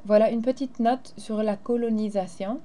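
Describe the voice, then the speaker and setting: A woman's voice: fairly high pitch, fairly even intonation, normal speed, dark tone, slight background noise. A teacher, a woman, explaining grammar and carefully modeling phrases in a recorded lesson. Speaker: French teacher.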